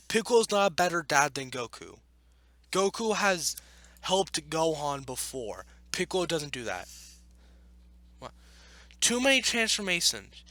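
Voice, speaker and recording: Animated, high-voiced man in exasperated commentary. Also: no room sound, clean studio sound. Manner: exasperated, argumentative. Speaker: YouTube commentator. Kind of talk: casual reaction commentary while reading.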